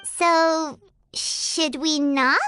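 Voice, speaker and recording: A woman's voice: steady tone, very slow, sharp tone, a little hiss or hum, heavily acted. A woman voicing a tiny, childlike fairy companion in a video game, nervous, curious and given to exclaiming. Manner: refers to herself in third person, squeaky exclaiming.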